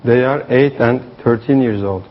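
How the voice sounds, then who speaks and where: A man's voice: medium pitch, moderate pace, lightly acted. A man playing a young English student from Turkey, speaking careful simple sentences in a scripted classroom dialogue. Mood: easygoing, careful. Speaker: language student. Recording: slightly dull lo-fi audio.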